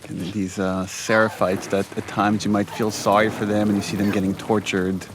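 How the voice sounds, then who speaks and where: A man with a fairly low voice gives casual director's commentary. He is conversational and keen on small details. There is faint background noise under his talk. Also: little pitch movement, moderate pace. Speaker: game director.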